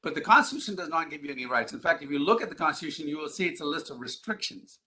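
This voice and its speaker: A man delivers a live lecture in a fairly high, bright, forceful voice. He explains his points emphatically and leans on rhetorical questions to lead listeners along. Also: expressive, racing. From Ghana, Middle East and East Africa. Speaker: public speaker, advocacy group president.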